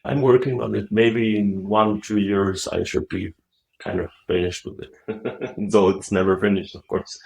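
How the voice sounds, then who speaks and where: A middle-aged man from Moldova, a native Russian speaker, talking softly and haltingly with many fillers as a guest on a video call. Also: on the low side, flat delivery, gravelly, poor audio. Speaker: guest, Zen teacher. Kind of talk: relaxed interview talk. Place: dim wood-walled room.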